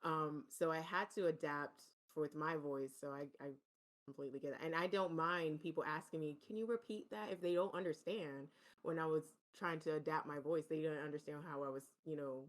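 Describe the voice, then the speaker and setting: A woman's voice: deep voice, fairly even intonation, brisk. A woman who is a guest on a podcast, telling her experiences earnestly in casual chat.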